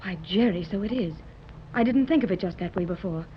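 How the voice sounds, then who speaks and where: A woman acting an earnest, well-to-do mother in a 1930s radio adventure serial. She speaks clearly and hopefully in a medium-pitched voice. The recording is old and dull, with faint noise. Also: fairly quick, lightly acted. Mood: earnest recounting. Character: earnest, hopeful wealthy mother seeking lost daughter.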